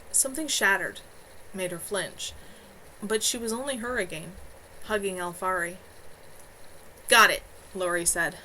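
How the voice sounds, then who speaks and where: A woman's voice: mid-range voice, fairly bright tone, slight background noise, low-quality recording. Woman reading her novel aloud. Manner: earnest, a few stumbles. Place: at home.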